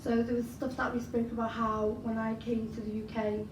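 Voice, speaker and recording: A woman's voice: a little high, little pitch movement, brisk. Scouse woman, roomy and distant. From Liverpool, England. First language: Arabic. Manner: chatty, frequent fillers and you-knows. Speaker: interviewee, spoken word poet. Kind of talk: casual interview answers. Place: large event hall. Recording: roomy hall recorded from a distance with noise.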